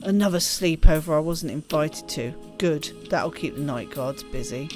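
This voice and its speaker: A woman's voice: low voice, moderate pace, a little mellow, faint music behind, pitched below the performer's own voice, natural voice. A woman voicing a rebellious, sardonic teenage girl telling her story in a game. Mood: resigned, defiant. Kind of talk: first-person story reading in a game.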